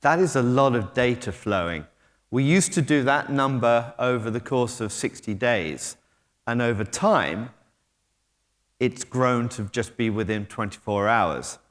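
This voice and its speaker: British man, middle-aged, medium pitch, conference speaker, chatty with ums, echoing hall. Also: expressive, measured pace. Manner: conversational, hesitant with frequent ums and restarts. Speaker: conference speaker, technology company head. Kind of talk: conference keynote talk. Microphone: stage mic, moving about while talking. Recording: long hall reverb.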